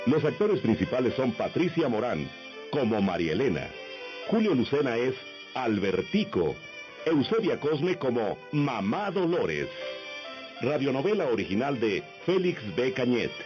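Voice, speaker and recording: A man's voice: mid-range voice, very animated, unhurried. A man reading scripted narration for a vintage radio drama, formal and solemn, on an old radio recording. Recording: muffled old radio recording, loud music. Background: loud music.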